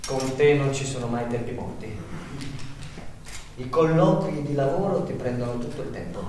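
An actor plays an unemployed married man who drifts along and answers in brief, wry bits. His pitch moves around a lot. He is heard from far off in a strongly echoing room. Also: expressive, moderate pace, darkish tone, slight background noise, natural voice. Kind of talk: stage dialogue. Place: theatre stage. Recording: roomy distant stage recording.

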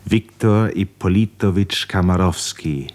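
Narrator, solemn literary storyteller for a 1950s radio tragedy; unhurried, slightly low voice. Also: male voice, darkish tone. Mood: bleak, solemn. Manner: grave, measured, literary. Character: grave male storyteller of a tragic novel.

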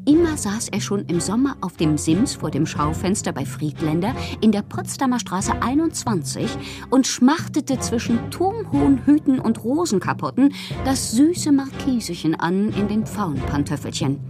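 A woman playing a moody, fanciful bohemian poet in a radio drama, reading her confessional letters aloud with expressive, theatrical, poetic phrasing. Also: mid-range voice, moderate pace, over music, lightly acted. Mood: wry, mischievous.

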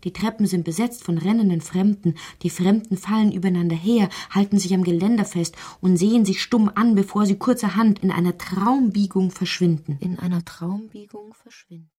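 A whimsical female storyteller played by an actress for a literary radio play. Her voice is soft-edged and mellow, with a husky grain, at a medium pitch. She narrates as if telling a dreamlike tale. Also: moderate pace, few pauses, no echo, clean studio sound, natural voice. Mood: musing, measured.

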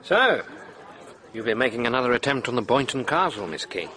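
A man's voice: mid-range voice, sing-song, fairly quick, pushed and bright. Wry man, crowd behind. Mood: wry, assured. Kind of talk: radio drama acting.

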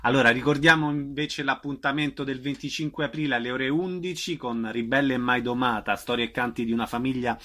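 Bright-voiced male radio host. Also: medium pitch, fairly even intonation. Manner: brisk announcing, listing names and dates. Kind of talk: radio interview.

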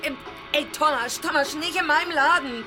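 An actress playing a no-nonsense shopkeeper in a naturalistic radio drama. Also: female voice, high-pitched, normal speed, music in the background, close to an everyday voice. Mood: firm, annoyed. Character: no-nonsense shopkeeper calming a troublemaker.

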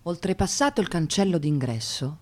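A woman's voice: lowish voice, big pitch swings, normal speed, dark tone. An actress playing an observant woman narrator, describing scenes in an Italian radio drama. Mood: descriptive.

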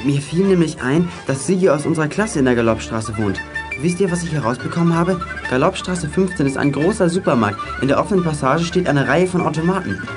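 A man's voice: a little high, expressive, brisk, music in the background, lightly acted. A male actor playing an eager schoolboy who leads a detective gang in a children's radio play. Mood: confident, planning.

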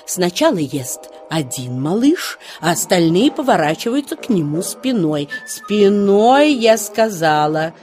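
Mother hawk, strict, didactic, fussing, slow deliberate delivery, children's audio play, light music behind. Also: fairly low pitch, very animated, a little mellow. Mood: strict, proud. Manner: bossy, didactic, fussing tenderly over children. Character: strict, orderly mother hawk feeding her chicks.

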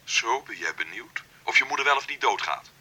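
A scolding, dutiful brother in a radio play. His lowish voice is rough and very sharp, rising and falling animatedly as he reproaches. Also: lively intonation, brisk, heavily acted. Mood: indignant. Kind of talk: naturalistic radio drama phone dialogue.

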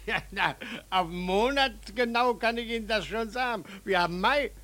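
A man's voice: sits high, moderate pace, pushed and bright. An actor playing a good-natured, slightly puzzled Spanish policeman in a 1940s German radio play, courteous, hesitant and given to repeating himself. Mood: puzzled, friendly.

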